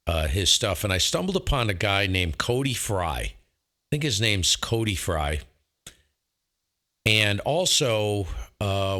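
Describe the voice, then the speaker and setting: A man with a deep voice and a bright, forceful edge chats casually as a ham radio operator. He talks enthusiastically and leans on his points with emphasis. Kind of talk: casual chat over radio.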